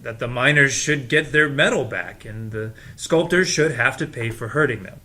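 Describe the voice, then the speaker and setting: A man's voice: medium pitch, moderate pace, sharp tone, lightly acted. A man acting an eager, trusting young man, playful and a little naive, in an audio drama read. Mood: hesitant, guarded.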